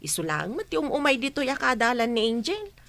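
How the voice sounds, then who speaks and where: A woman's voice: a little high, big pitch swings, brisk. A woman playing a kind older sister who reassures her younger sibling. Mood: gentle. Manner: gentle, reassuring. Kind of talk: radio drama acting.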